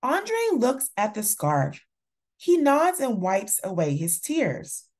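A woman reading a children's picture book aloud in a deliberate, expressive storytelling manner. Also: mid-range voice. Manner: slow, deliberate, expressive delivery for young children. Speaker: children's story reader and channel host.

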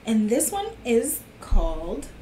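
A woman's voice: fairly high pitch, very animated, slow, mellow. A middle-aged woman hosting a children's storytime, speaking to young listeners and their parents. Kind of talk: reading a picture book aloud to children. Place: small living room on a sofa, indoors. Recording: echoey room with faint hiss.

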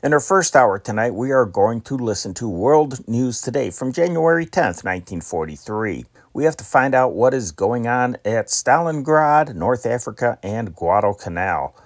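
A man's voice: mid-range voice, lively intonation, moderate pace, few pauses, dry recording, clean studio sound. A man giving a casual scripted introduction to old radio recordings as the host.

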